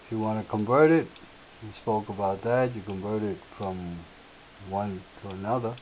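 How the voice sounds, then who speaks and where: A man with a slightly raspy voice, hosting a software tutorial and explaining each step in an unhurried way with filler words. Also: on the low side. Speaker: tutorial host. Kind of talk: screen-share software tutorial. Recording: tinny lo-fi audio with faint hiss.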